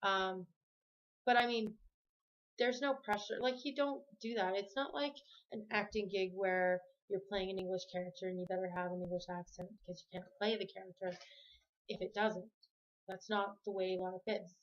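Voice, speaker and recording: A woman's voice: mid-range voice, fairly even intonation, normal speed, lo-fi sound. A woman from Canada talking casually to camera at home, rambling and often correcting herself. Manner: rambling, self-correcting, chatty. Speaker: vlogger. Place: home room with the TV on.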